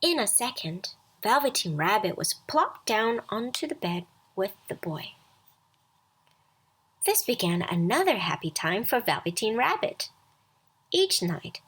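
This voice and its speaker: A woman reading a scripted children's bedtime story aloud as storyteller, expressive and deliberate. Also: fairly high pitch, slightly rough.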